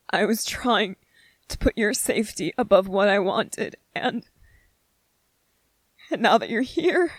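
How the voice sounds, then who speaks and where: A woman playing an anxious, gentle, earnest young male soldier in a soft-spoken ASMR drama, speaking haltingly. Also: medium pitch, dry recording. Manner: hesitant, halting, repeating phrases.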